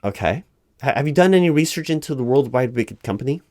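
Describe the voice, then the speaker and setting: A man playing a recruiting manager in a soft-of-script, improvised ASMR interview roleplay, speaking aloud in a halting, officious, explanatory way. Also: medium pitch, lively intonation, no room sound, clean studio sound. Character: flustered, officious male recruiting manager at a company. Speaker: job interviewer in a one-sided roleplay.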